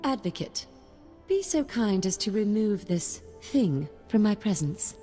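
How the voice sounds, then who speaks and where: A woman's voice: medium pitch, sing-song, unhurried, warm tone, long reverb tail, faint music behind. An actress playing a haughty, scornful young noblewoman in a video game. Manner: imperious, disdainful.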